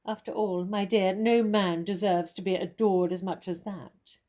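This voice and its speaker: Famous stage actress played by a woman, witty and self-assured, gently mocking, mellow tone, theatrical comedy delivery. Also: mid-range voice, steady tone, normal speed, close to an everyday voice. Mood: teasing, self-assured. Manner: arch, condescending, theatrical.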